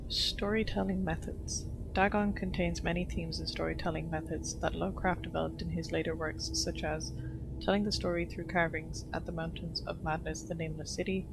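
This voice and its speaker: A woman's voice: lowish voice, level tone, a little fast, vocal fry, background noise. A woman giving casual live commentary as a game streamer, with offhand, wry reactions.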